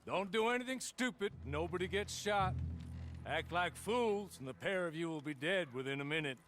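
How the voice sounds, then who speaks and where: An actor playing a suave, courteous fixer in a video game cutscene, speaking with polished, formal diction and dry politeness. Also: male voice, sits high, expressive, moderate pace, a bit bright. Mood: calm. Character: suave, courteous middle-aged male fixer. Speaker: outlaw rescuer in a game cutscene.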